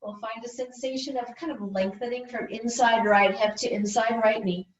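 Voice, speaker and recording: A woman instructor calmly guiding gentle yoga and relaxation in an unhurried, soothing way. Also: a little high. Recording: roomy, distant, slightly muffled class recording.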